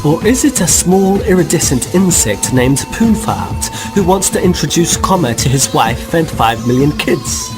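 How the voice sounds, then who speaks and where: A man giving wry, measured scripted narration for an audio drama. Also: fairly high pitch, sing-song, over music.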